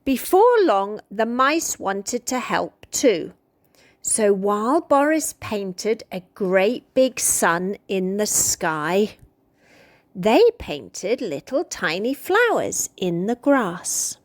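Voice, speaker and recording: British woman reading a storybook, slowly and expressively. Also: medium pitch, big pitch swings, no echo. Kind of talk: children's picture book read-aloud.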